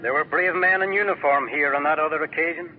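An actor playing an elderly small-town newspaper editor, gently recalling past days in a 1940s radio drama. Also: male voice, fairly high pitch, quick pace, soft background music, natural voice. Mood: wistful. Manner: reminiscent, gentle. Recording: tinny old radio broadcast.

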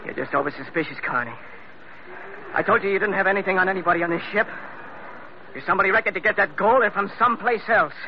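A hot-tempered young ship's officer in 1940s radio style. His voice is high and his delivery hard and clipped. The recording is muffled and noisy. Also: fast talker, long pauses. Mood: sullen. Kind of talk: 1940s radio drama acting.

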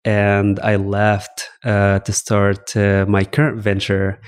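Middle-aged male founder from Egypt; low, even-toned, easygoing, chuckles between lines. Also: takes it slowly, clear, resonant tone. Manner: laughs often, fillers like "uh". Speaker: startup founder, guest. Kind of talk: casual interview talk. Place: office coworking space.

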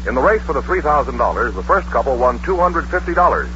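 A man's voice: medium pitch, sing-song, fast talker, fairly bright tone. A man with an American accent announcing a quiz show in old-time radio style. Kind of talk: 1940s-50s radio announcing. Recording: muffled, noisy vintage radio recording.

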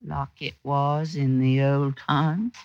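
A woman's voice: very deep voice, level tone, slow pace, highs cut off, background noise, exaggerated voice. A woman playing a brazen, mocking, scheming old flame with a taunting drawl in an old-time radio drama. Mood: threatening, sly. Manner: taunting, drawling, rustic turns of phrase.

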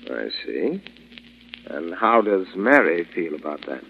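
A man's voice: sits low, very animated, measured pace, gravelly, heavily acted. An actor playing a solicitous but sinister doctor and stepfather in old radio drama style. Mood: calm, solicitous. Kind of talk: 1940s radio acting. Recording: tinny old radio recording, faint noise.

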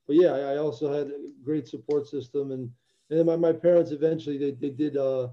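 A man's voice: on the high side, steady tone, a little fast, muffled recording. A young man from Albania, a former football player, answering interview questions in an earnest, reflective way with many restarts. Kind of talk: casual interview.

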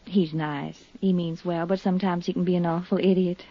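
A woman's voice: on the low side, fairly even intonation, fairly quick, background noise, natural voice. An actress playing a poised, earnest, grieving young woman in a 1950s radio drama, confiding yet firm. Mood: frank, confiding. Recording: dull, dry 1950s radio recording.

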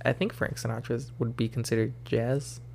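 A man's voice: mid-range voice, fairly even intonation, moderate pace, gravelly, darkish tone, a little hiss or hum. A young man co-hosting a podcast, chatting in an easygoing, hesitant way with many fillers. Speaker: podcast co-host. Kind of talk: casual chat.

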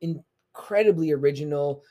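A man's voice: on the high side, moderate pace, mellow. A man in his thirties hosting a casual podcast, rambling and often adding "you know what I mean.". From South Texas. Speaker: podcast host. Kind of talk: casual chat.

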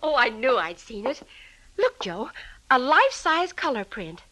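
A woman's voice: high voice, sing-song, a little slow, sharp tone, full character voice, rough recording. A woman acting in a 1940s-style radio crime drama as a shrewd, covetous wife with an insistent, probing manner. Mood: intrigued, covetous. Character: shrewd, sharp-questioning wife of a killer, covetous.

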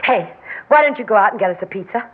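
An actress playing a frightened, overwrought young wife who pleads and swings toward hysteria, in old radio drama style. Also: female voice, medium pitch, lively intonation, fast, close to an everyday voice. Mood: subdued. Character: frightened, high-strung young wife in hiding. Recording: muffled, slightly noisy old radio recording.

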